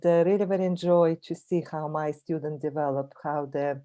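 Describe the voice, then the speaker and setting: A woman with a Russian accent speaks in a low, mellow voice over a video call. She is a native Russian speaker giving a presentation, friendly and chatty, with many fillers and restarts. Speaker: guest speaker, language teacher. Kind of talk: online presentation.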